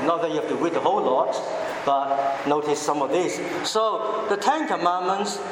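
A male preacher whose first language is Chinese gives a deliberate, teacherly sermon and often puts rhetorical questions to the congregation. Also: on the high side. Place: church hall. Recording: echoey hall with background noise.

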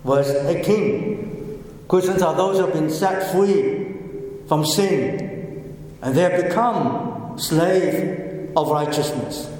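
A man whose first language is Chinese, preaching a sermon slowly and repeating key phrases for emphasis, in an echoey hall. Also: on the high side, darkish tone. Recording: echoey hall, heard from a distance.

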